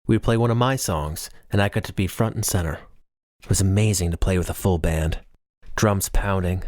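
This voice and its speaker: A man's voice: low voice, no echo, clean studio sound. A man reading a first-person novel as scripted audiobook narration.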